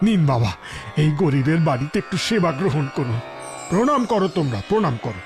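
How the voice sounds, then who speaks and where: A man's voice: fairly high pitch, sing-song, fairly quick, music in the background. An actor playing a poor, kindly village father in a fairy-tale drama, with a humble, deferential manner. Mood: humble, welcoming. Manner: humble, coaxing. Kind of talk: Bengali fairy-tale audio drama acting.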